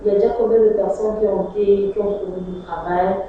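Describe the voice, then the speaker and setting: A woman's voice: medium pitch, steady tone, quick pace. A woman with a Congolese accent hosting an interview, putting questions and addressing online viewers. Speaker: interviewer, web TV host. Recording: distant, dull, very echoey, faint noise.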